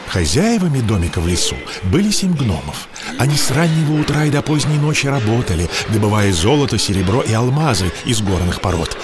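Expressive male fairy-tale narrator. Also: medium pitch. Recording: music behind the voice.

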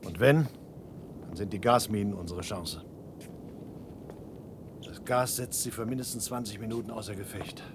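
An actor playing a cautious, earnest adult son on an urgent, forbidden search in a science-fiction radio play. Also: male voice, moderate pace, long pauses, natural voice. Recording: noisy vintage radio play, low rumble.

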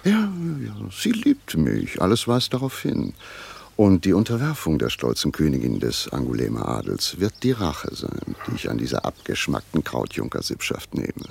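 A haughty suitor in a radio play with a very gravelly, husky voice. He sneers pompously, drawing out his words in big swoops of pitch. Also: wildly swooping pitch, normal speed, darkish tone, full character voice. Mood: scornful, smug. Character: haughty, vain suitor scornful of provincial nobility. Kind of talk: German radio drama acting.

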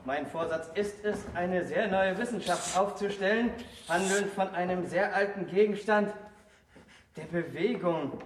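An actor in a German radio play voicing an eager, respectful visitor to an old scholar. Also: male voice, sits high, unhurried. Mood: awed, excited. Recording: echoey, noisy old radio play recording.

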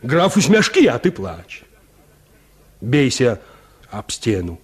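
A man's voice: mid-range voice, huge pitch swings, slow, slightly rough, exaggerated voice. An actor in a radio drama playing a vain, callous peasant huntsman who talks in a boastful, dismissive, folksy way. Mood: proud, scornful. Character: vain, callous peasant huntsman scorning his unloved wife. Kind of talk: Russian radio drama acting.

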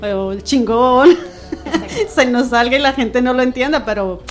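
A woman from Colombia chatting on a radio panel, lively and laughing often. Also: on the high side, measured pace. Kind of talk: casual radio chat.

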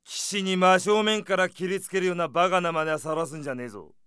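An actor playing a brash, rough bandit chief with coarse, commanding speech in an audio drama. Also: male voice, high-pitched, steady tone, fast talker, a bit bright. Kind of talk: anime-style audio drama acting.

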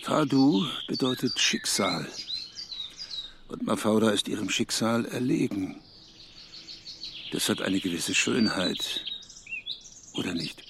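An actor playing a gruff, bitter old man in a radio drama, brusque and given to solemn pathos. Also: on the low side, animated, slow, pauses often, a bit bright, full character voice. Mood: darkly wistful. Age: older. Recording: faint outdoor noise with birdsong.

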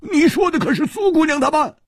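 Furious high-pitched martial hero , acted by a man. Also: expressive, dry recording, studio quality. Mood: angry demanding. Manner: bellowing, strained, drawn-out threats. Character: hot-headed, furious, loud male martial arts hero.

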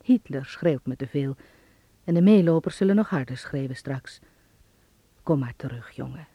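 Caring older letter-writer in a radio play; gentle, anxious, dark husky tone, dry sound. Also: female voice, a bass voice, lively intonation, moderate pace, no room sound, heavily acted. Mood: worried, tender. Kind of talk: letter read aloud in radio drama.

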